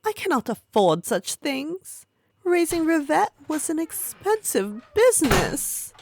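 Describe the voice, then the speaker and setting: A woman's voice: sits high, sing-song, slow pace, background noise, exaggerated voice. A woman playing a grasping, pleading old brothel madam in an audio drama. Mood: wheedling, pleading. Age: older. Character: Mayal, grasping old silk-house madam, wheedling.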